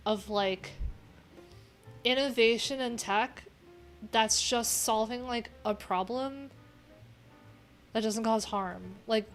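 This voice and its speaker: Higher-voiced woman, candid livestream chat. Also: fairly bright tone. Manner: candid, rambling, thinking aloud. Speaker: livestream host, developer. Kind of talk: casual livestream chat while browsing. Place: small cluttered room indoors. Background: music.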